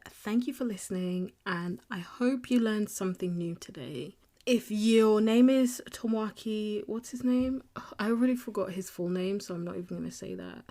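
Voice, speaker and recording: A woman's voice: medium pitch, darkish tone. A young woman hosting a casual solo podcast, chatty and self-deprecating, with jokey asides as she reads through her notes. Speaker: podcast host.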